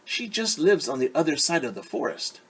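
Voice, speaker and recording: A man's voice: on the high side, sing-song, fairly quick, fairly bright tone, husky, voice pushed up, exaggerated voice, rough recording. A man voicing a polite, eager young girl in a storybook reading.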